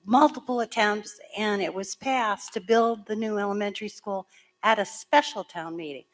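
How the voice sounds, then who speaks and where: A woman town clerk with a hoarse voice, chattily explaining voting procedure step by step at a public meeting. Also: mid-range voice, normal speed. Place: school meeting room.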